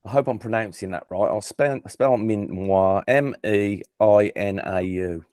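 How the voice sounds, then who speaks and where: A man's voice: fairly low pitch, measured pace, a little mellow, no room sound, clean studio sound. A man with a Birmingham accent hosting a casual football quiz, chatty and self-deprecating, often stumbling and restarting his sentences. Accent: Birmingham English. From England. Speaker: quiz host.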